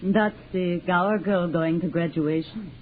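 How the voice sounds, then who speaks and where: A woman's voice: fairly low pitch, steady tone, unhurried, lightly acted. An actress voicing a fretful, thrifty old mother who grumbles, in a hissy, tinny 1940s radio drama. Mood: grumbling. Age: older. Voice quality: grumbling, fussing over money.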